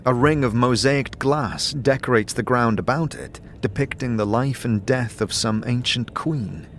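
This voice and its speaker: A man giving measured, atmospheric second-person narration of a fantasy story as game master. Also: mid-range voice, a bit bright, a little hiss or hum.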